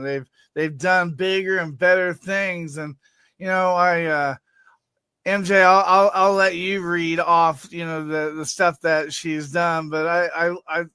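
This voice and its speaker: A man's voice: high-pitched, sharp tone, clean tone, no room sound. A man hosting a casual online talk show, stammering and restarting often and swearing now and then. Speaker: show host. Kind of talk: casual podcast chat.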